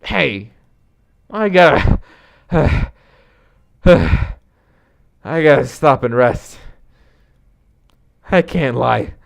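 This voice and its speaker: A man voicing an insecure, emotional slacker dude in a comic story, talking in halting, self-deprecating slang. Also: mid-range voice, sing-song, creaky, voice pushed up, exaggerated voice. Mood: weary. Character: slacker hot-dog-obsessed male would-be friend, insecure, emotional, dude-ish.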